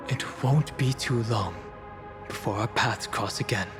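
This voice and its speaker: A man's voice: mid-range voice, unhurried, music in the background, close to an everyday voice. A man voicing a resolute young lord in a video game, curt and matter-of-fact. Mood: cool, determined. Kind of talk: dramatic video game voice acting.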